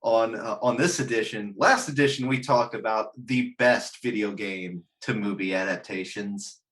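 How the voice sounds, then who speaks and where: A man hosting a casual chat show, talking in a halting, joking way with the odd mild swear. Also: on the low side, a bit bright. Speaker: host.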